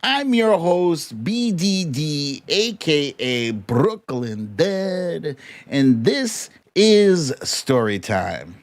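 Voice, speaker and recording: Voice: mid-range voice, very animated, very slow. An American livestream host chatting casually, greeting viewers in a playful, slangy, informal way. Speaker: host of a live online show. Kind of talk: casual livestream chat with shout-outs to viewers.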